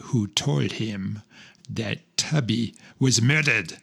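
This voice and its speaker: A man narrating a radio serial in a scripted, dramatic style, delivering it deliberately in short, separated phrases. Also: lowish voice, gravelly, sharp tone, breathy edge.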